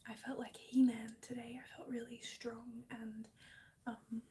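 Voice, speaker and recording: A woman with an Australian accent chatting gently in a hushed, breathy, near-whispered ASMR live stream style. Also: a little high, flat delivery, measured pace. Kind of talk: soft-spoken ASMR live chat with viewers.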